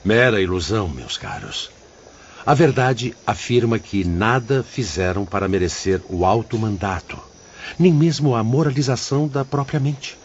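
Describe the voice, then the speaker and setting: Man acting a grave, animated, fatherly spirit mentor. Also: on the low side, moderate pace, a little hiss or hum. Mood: grave, admonishing. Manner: solemn, preacherly, formal. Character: grave, fatherly male spirit mentor instructing his pupils. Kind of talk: audiobook drama acting. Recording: dull lo-fi audio under music.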